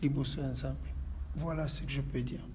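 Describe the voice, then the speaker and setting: Mellow, measured Congolese-born pastor. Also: male voice, medium pitch, fairly even intonation, slight background noise. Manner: measured, preacherly. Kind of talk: calm interview answers and prayer. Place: inside a church.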